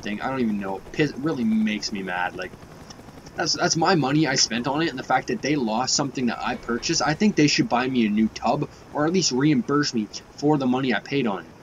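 A young man talks through a game with a plugged nose and a slightly raspy voice from a cold. He rambles, swears casually and fills gaps with "like". Gameplay noise runs clearly behind him. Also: mid-range voice, fairly quick, lo-fi sound. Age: young adult. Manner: rambling, swears often, many fillers. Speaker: gaming youtuber.

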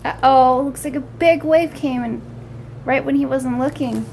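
A woman's voice: high voice, fairly even intonation, unhurried, vocal fry, darkish tone. A young woman doing a picture book read-aloud, describing pictures and asking simple, wondering questions as if to small children. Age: young adult. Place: small room at home, indoors. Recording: noticeable background noise, home recording.

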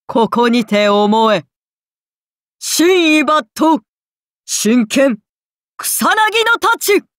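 A menacing goddess of calamity for a game. She declaims very slowly in a fairly high voice, solemn and grand, like a ritual incantation. The sound is dry and close. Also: no echo. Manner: grand, archaic, incantatory delivery.

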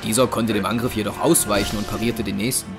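A man's voice: on the low side, fairly even intonation, fast talker. A man narrating a scripted dramatic action story as the narrator of an audio drama. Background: music.

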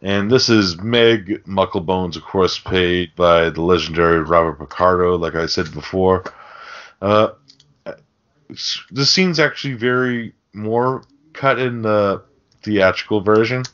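Low-voiced man swearing through casual chat. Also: unhurried, fairly bright tone, lo-fi sound. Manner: heavy swearing, slangy. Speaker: podcast host. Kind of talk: casual film recap chat.

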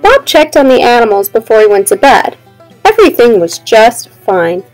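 Young lady reading a kids picture book, high voice, super up and down, expressive. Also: moderate pace, no room sound, faint music behind, rough recording. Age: young adult. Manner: expressive, addressing children. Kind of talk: children's storybook read-aloud.

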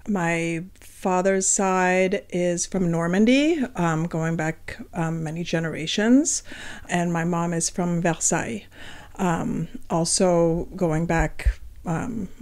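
A woman's voice: on the low side, takes it slowly, darkish tone. A middle-aged woman from France, a restaurant owner answering interview questions in a casual, hesitant way with many ums.

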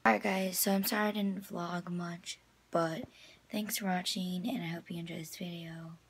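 A young woman chatting casually to her vlog in a halting way, often padding her sentences with "like", recorded on a phone at arm's length in a small room. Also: lowish voice, fairly even intonation. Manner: halting, filler-heavy storytelling with frequent "like". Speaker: vlogger. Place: small indoor rooms, camper and bedroom. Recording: noisy handheld phone audio.

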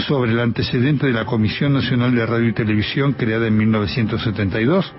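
A man narrating a scripted radio history in a measured, formal manner, with an Argentine Spanish accent. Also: mid-range voice, lo-fi sound. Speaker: documentary narrator.

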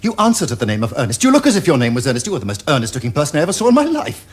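An actor playing a witty, teasing young gentleman with an upper-class English accent, in a theatrical period comedy. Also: a little high, wildly swooping pitch, rapid-fire. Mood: teasing, insistent. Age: young adult. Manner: theatrical, epigrammatic, crisp upper-class phrasing. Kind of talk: period stage comedy acting. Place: furnished studio drawing-room set.

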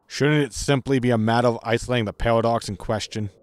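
Man playing a grumpy, mid-pitched starship captain. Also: steady tone, fast talker, no echo, close to an everyday voice. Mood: impatient. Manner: blunt, sardonic, irritable. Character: grumpy, exasperated male spaceship captain. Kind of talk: science fiction comedy audio drama acting.

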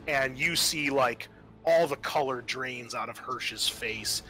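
Male game master, mid-pitched and bright, informal horror tabletop narration, descriptive and improvised. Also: slow pace, rough recording. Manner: informal, descriptive, salty language.

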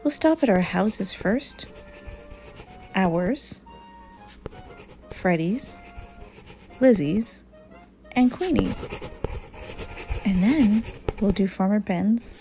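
An eager, confident little boy bear from a storybook, voiced by a woman reader. The delivery is playful and excited, with an unhurried pace. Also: mid-range voice, soft background music, poor audio.

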